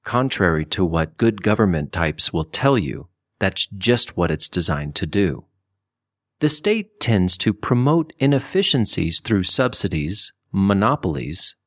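Man, nonfiction audiobook narration, very varied intonation, measured phrasing, dry close sound. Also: on the low side, dry recording. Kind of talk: scripted audiobook narration of a nonfiction political book.

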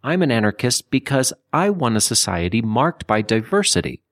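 A man reading a nonfiction book as an audiobook narrator, with measured, deliberate phrasing and emphasis. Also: medium pitch, very animated, fairly bright tone, no echo, studio quality.

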